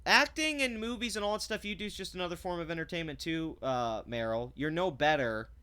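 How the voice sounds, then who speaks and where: A man's voice: a little high, a little fast, sharp tone, clear, resonant tone. A man delivering a sarcastic, blunt commentary rant, swearing freely and stumbling over restarts when he gets heated. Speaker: commentary vlogger. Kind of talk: ranting commentary.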